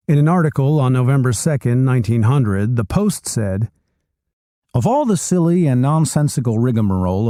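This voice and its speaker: A man reading a nonfiction book aloud chapter by chapter, with expressive storytelling delivery. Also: mid-range voice, no room sound, studio quality. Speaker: reader.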